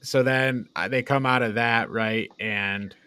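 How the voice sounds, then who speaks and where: A man's voice: medium pitch, fairly even intonation, a little slow, bright, forceful tone, clean tone, no echo. A man co-hosting a podcast, chatting casually and informally as he retells and reviews a movie in loose paraphrase. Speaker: podcast host.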